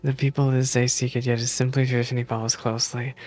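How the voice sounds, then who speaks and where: A man's voice: medium pitch, little pitch movement, very fast, a little mellow. A man streaming a game, reading story text aloud and reacting casually as he goes.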